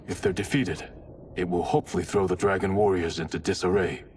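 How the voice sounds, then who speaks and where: A grave, blunt warrior leader, voiced by a man with a lowish voice, giving orders in measured tones. The voice sits a little back from the mic over faint noise. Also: fairly even intonation, darkish tone, recorded from a distance, lightly acted. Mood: commanding. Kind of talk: video game cutscene acting.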